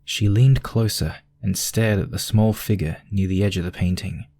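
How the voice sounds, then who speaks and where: A man's voice: low voice, normal speed, dark tone. A man narrating a scripted piece of fiction as a storyteller.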